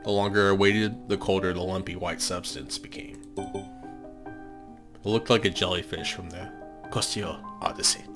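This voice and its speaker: A man with a low-pitched voice hosts a game playthrough. He moves between offhand commentary and storytelling narration of on-screen text. Prominent game music plays underneath his voice. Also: steady tone, a little slow, pauses often. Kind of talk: casual gaming commentary and read-aloud narration.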